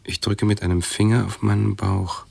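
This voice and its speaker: A man's voice: lowish voice, little pitch movement, normal speed, gravelly, darkish tone, breathy edge, no room sound, heavily acted. An actor playing a pedantic, hesitant male hypochondriac intellectual who keeps correcting himself in a radio play. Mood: pedantic, uneasy.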